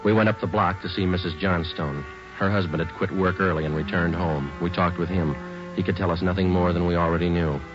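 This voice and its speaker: Actor as a deep, deadpan American police detective. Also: male voice, close to an everyday voice. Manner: deadpan, matter-of-fact. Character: steady, calm male police detective sergeant narrating his case. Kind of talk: 1940s radio drama, clipped scripted narration. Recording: muffled old 1940s radio recording.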